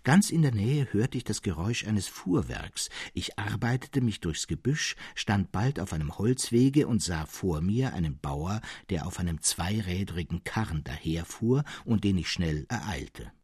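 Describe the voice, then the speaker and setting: Husky, low-voiced male literary narrator. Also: animated, a little slow, few pauses, darkish tone, no room sound, studio quality. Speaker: narrator, reader. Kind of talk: expressive literary scripted narration.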